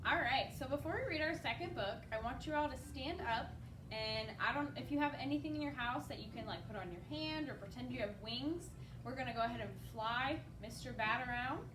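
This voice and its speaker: High-pitched woman reading to children, roomy sound. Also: brisk, noisy background. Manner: animated storyteller addressing young children. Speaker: children's librarian and story time host. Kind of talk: expressive picture book read-aloud with casual asides to children. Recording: roomy, distant microphone, music behind.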